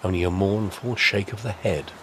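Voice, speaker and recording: A man's voice: deep voice, steady tone, normal speed, a bit bright, slight background noise. A man narrating a scripted audio drama as a dramatic storyteller. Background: music.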